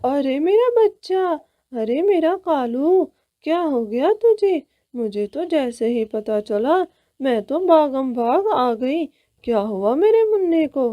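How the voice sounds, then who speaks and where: A woman's voice: high-pitched, sing-song, takes it slowly, dry recording, lo-fi sound, pitched above the performer's own voice, full character voice. A woman voicing a fussing, dramatic elderly aunt bird who nags and dotes in a cartoon. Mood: anxious fussing. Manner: wailing, dramatic, nagging.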